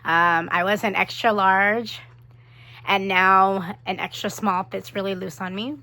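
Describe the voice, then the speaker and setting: A woman vlogging to her camera in a chatty, animated way, with a cold and her voice starting to fade. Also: medium pitch. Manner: chatty, animated, quick asides. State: sick with a cold, voice fading. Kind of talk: casual chat to camera.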